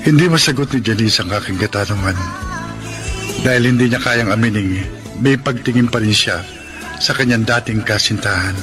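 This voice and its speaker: A man's voice: lowish voice, moderate pace, over music, close to an everyday voice. A Filipino man playing a jealous, hot-headed poor young man in love in a radio drama, emotional and accusatory. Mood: sorrowful, confiding.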